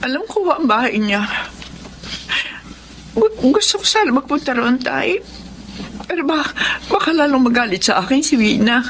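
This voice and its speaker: A Filipino mother with a past to regret, in a high voice with wide melodic swings. The delivery is emotive radio drama, with music underneath. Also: very animated, moderate pace, pauses often, fairly bright tone, a little hiss or hum. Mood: remorseful, pleading. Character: guilty long-absent mother, middle-aged recruiter of girls.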